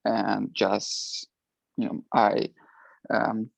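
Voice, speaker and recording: Slow, gravelly man. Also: on the low side, little pitch movement, no echo. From Ukraine. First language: Russian. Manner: hesitant, many filler words. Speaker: alumni panelist. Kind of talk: panel discussion answer.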